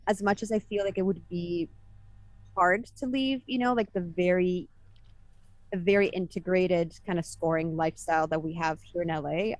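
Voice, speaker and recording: Woman guest, composer, lowish pitch, dry close mic, chatty and digressive. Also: dry recording. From Italy. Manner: chatty, many fillers and self-corrections. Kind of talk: casual interview answers.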